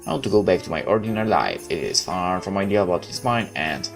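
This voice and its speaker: Male game host, deep voice with lively swings, narrating a visual novel dramatically, halting at times. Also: creaky, soft background music. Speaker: gaming video host. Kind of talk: reading a visual novel aloud with asides.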